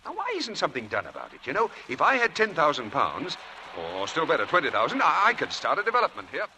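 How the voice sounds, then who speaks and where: An actor playing a charming, evasive young husband with smooth, persuasive patter, in 1940s radio drama style. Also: male voice, fairly high pitch, huge pitch swings, fast. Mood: eager, scheming. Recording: noisy old 1940s radio broadcast.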